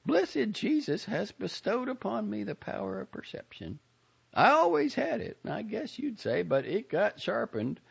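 A man's voice: high voice, creaky, slightly rough, dull audio, pitched above the performer's own voice, exaggerated voice. A man voicing a chatty, nosy, pious older Southern woman in an audiobook story, gushing and self-satisfied in a folksy rambling way. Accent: American South.

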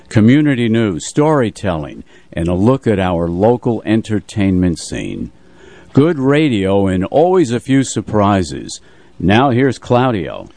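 Animated low-pitched male radio announcer. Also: no room sound. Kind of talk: scripted show intro.

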